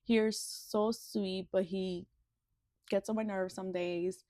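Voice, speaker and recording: A woman's voice: mid-range voice, fairly even intonation, slow pace, mellow, no room sound. Chatty young woman vlogger with dry sound. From Dominican Republic. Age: 20s. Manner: chatty, rambling. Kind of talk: casual get-ready-with-me chat.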